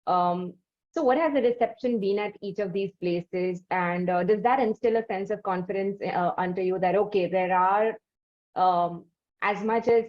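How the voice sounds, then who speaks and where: A woman from India hosts an interview in a mid-pitched voice and asks long, winding questions full of fillers. Her audio sounds slightly dull. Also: moderate pace. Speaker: interview host.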